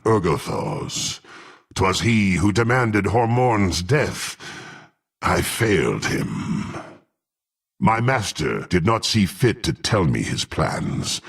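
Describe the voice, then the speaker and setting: Gravelly, menacing half-orc warrior. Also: male voice, low-pitched, full character voice. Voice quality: gruff, gravelly. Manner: haughty, threatening, formal archaic speech. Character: menacing proud half-orc warrior sworn to dark patron. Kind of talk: dramatic fantasy game voice acting.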